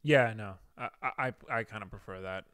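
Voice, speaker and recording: A man's voice: fairly low pitch, brisk, a bit bright, smooth, dry recording, clean studio sound. A young man originally from Venezuela, a pilot, chatting in an easygoing, hesitant way with many fillers in a couple's Q&A. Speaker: airline pilot, boyfriend guest. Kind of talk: casual couple Q&A chat.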